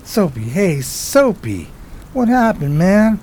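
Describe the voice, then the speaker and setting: An actor playing a nervy, streetwise sidekick in a 1920s radio drama, urging things along in street slang. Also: male voice, high-pitched, sing-song, slow pace, a little mellow, a little hiss or hum, heavily acted. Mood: urgent. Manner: slangy, urgent, hesitant starts. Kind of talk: radio drama acting, 1920s period piece.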